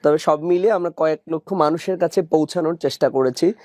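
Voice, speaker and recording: A Bangladeshi man speaks as an author and coach being interviewed. His voice is a touch higher than average with a mellow tone. It is captured with very little room sound. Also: fast talker, dry recording. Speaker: author and English coach as guest. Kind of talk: interview answers.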